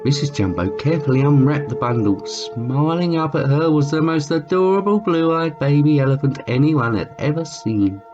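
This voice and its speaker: A muffled recording with music playing behind. A man with a fairly high voice reads a children's story aloud. He leans theatrically on key words and draws them out. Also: moderate pace, hardly any pauses, a little mellow. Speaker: amateur storyteller reading a children's book aloud. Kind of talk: expressive read-aloud storytelling.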